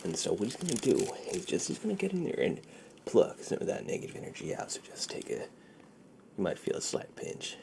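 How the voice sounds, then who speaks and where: A man doing a soft-spoken, half-whispered ASMR roleplay in a soothing, reassuring manner, murmuring and repeating himself close to a sensitive microphone. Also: lowish voice, wildly swooping pitch, a little fast, creaky, noisy background. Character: friendly shady dealer treating a client's tingle immunity. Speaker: ASMR performer.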